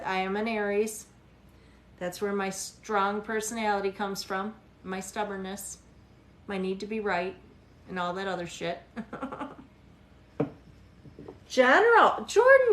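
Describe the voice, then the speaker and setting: A woman's voice: fairly high pitch, a bit bright, echoey room, slight background noise. A tired woman in her forties reading tarot live for viewers, chatting casually, teasing them and often laughing. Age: 40s. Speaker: tarot reader hosting a livestream. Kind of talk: casual livestream chat with viewers.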